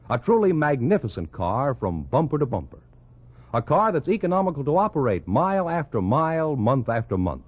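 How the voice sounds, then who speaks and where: A male American announcer delivers a 1950s radio commercial with very animated intonation. His polished, promotional voice is husky and comes through a narrow, phone-like vintage recording. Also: on the high side.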